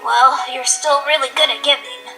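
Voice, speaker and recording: A woman playing a young female griffin in a cartoon-style audio drama, tough and guarded but soft-hearted underneath. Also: high-pitched, fairly even intonation, moderate pace, gravelly, low-quality recording. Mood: downcast. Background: music.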